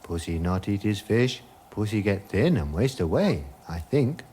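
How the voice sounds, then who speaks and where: An actor playing a vague, gentle hermit who doubts all reality, in a radio comedy drama. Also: male voice, fairly low pitch, big pitch swings, unhurried, slight background noise. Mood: musing. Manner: musing, detached, hesitant, questioning everything.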